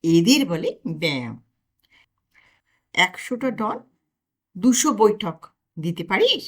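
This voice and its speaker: A woman's voice: mid-range voice, wildly swooping pitch, slow, full character voice. A woman voicing a boastful young man who loves exercise and lectures his friend. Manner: lecturing, showing off.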